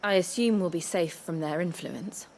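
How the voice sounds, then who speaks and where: Low, composed young woman adventurer, actress. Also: moderate pace, darkish tone, slight background noise, close to an everyday voice. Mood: cautious. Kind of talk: video game cutscene acting.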